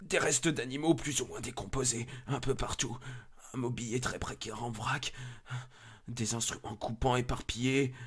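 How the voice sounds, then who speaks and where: A man's voice: medium pitch, steady tone, normal speed, husky, natural voice. A man playing an urgent, frightened member of a search party making radio reports in a horror drama. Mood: horrified. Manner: urgent, whispering, breaking into screams and sobs. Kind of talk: dramatised horror story acting.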